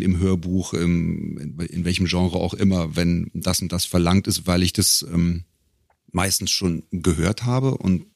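Deep gravelly middle-aged man, thinking aloud. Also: dry recording, clean studio sound. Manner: hesitant, thinking aloud, broken-off phrases. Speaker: podcast guest, professional voice actor. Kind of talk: casual interview talk.

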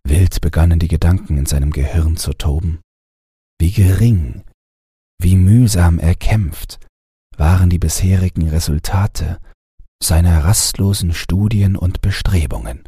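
A man narrating a fairy tale as an audiobook reader, with measured, expressive, dramatic delivery. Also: deep voice, slightly rough, dry recording, studio quality.

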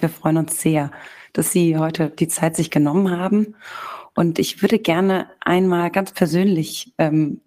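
A woman co-hosting a sports podcast, slightly hoarse, upbeat and conversational. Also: deep voice, darkish tone. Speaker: podcast co-host. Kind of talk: casual podcast talk.

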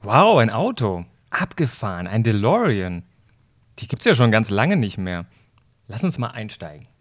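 Brief: man acting an adventurous, level-headed time-travelling friend, radio play, lowish understated voice, faint noise behind. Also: very animated, unhurried. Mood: intrigued. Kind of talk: amateur scripted audio drama.

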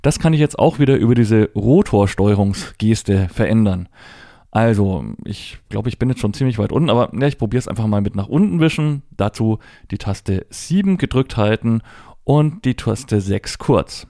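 Male tutorial presenter: deepish, relaxed tone, matter-of-fact, unscripted step-by-step delivery. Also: normal speed, no room sound, clean studio sound. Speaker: presenter demonstrating assistive technology. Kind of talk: hands-on spoken tutorial, unscripted step-by-step explanation.

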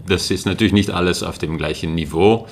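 Deep gravelly man. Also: a little fast, a little hiss or hum. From Netherlands. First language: Dutch. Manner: hesitant, many fillers and restarts. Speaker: guest, linguistics lecturer. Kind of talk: casual interview answers.

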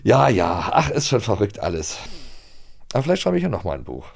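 A man's voice: on the low side, wildly swooping pitch, brisk, vocal fry, husky. A man in his forties from East Germany, co-hosting a casual podcast chat and rambling with many hesitations and restarts. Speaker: podcast co-host.

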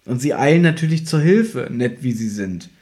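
A man's voice: mid-range voice, expressive, normal speed, darkish tone. A man co-hosting a podcast, casually reviewing an audio drama in a teasing, ironic way. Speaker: podcast co-host.

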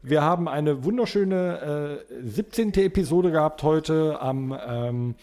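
A man's voice: a little high, animated, slow pace, a little mellow. A man hosting a podcast, talking in a casual, conversational style. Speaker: podcast host. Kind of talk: casual chat.

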